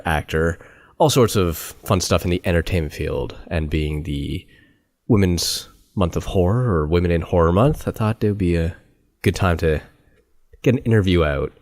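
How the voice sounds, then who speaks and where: A man's voice: sits low, moderate pace, darkish tone, no echo, studio quality. A man hosting a podcast, introducing and interviewing a guest. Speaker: podcast host.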